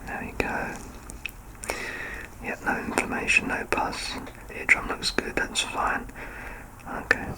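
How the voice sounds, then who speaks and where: A man playing a doctor in an ASMR medical exam roleplay, soft-spoken and reassuring, with gentle teasing and close whispered passages. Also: slow pace, pauses often, poor audio. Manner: slips into unvoiced whispering up close.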